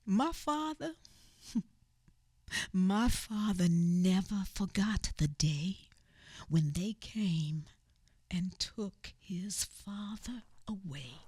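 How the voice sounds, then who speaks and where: A woman with a husky, breathy voice narrates very slowly and solemnly, often dropping to a hushed whisper. She is recorded dry and close, with no room echo. Her voice sits a little high. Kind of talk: dramatic radio theatre narration.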